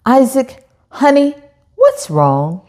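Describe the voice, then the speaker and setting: A woman's voice: sits high, wildly swooping pitch, takes it slowly, a little mellow, voice pushed up, full character voice. A woman voicing a patient, encouraging mother in a children's storybook, coaxing and reassuring. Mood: concerned, coaxing.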